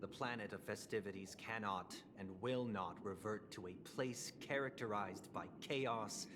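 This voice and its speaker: An actor playing a dignified, composed festival leader in a video game, speaking formally and with measured stateliness. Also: male voice, on the low side, background noise. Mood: firm, grave. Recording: game music behind the voice.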